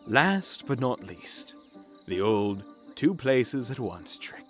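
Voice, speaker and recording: A man's voice: medium pitch, big pitch swings, slow. A man playing a shrewd, stern detective in a fantasy audio drama, accusatory and dryly sarcastic. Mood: accusing, sardonic. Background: music.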